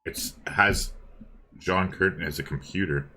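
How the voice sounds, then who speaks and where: A man's voice: low voice, unhurried, sharp tone, soft background music. A man hosting and co-casting a gaming livestream in casual, chatty, apologetic talk that often repeats itself. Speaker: host and co-caster of a gaming livestream. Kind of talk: casual live stream chat.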